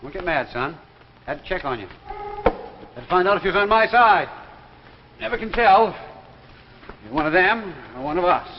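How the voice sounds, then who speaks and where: A man's voice: sits high, expressive, normal speed, long pauses. Crazy old country man from a 50s tv drama, rural american drawl, cackly and rambling, noisy dull old recording. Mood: needling, sly. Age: older. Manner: folksy, rambling, cackling, repeats himself. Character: crazy old small-town man, sly, excitable, saucer believer. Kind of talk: 1950s television drama acting. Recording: noisy, muffled 1950s soundtrack with long reverb.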